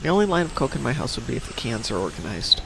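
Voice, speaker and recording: A man's voice: mid-range voice, rapid-fire, vocal fry, noisy background. A man from Chicago hosting a livestream, chatting casually while playing a game, a little stuffy from a cold. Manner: chatty, animated, reads viewer comments aloud. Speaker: stream host.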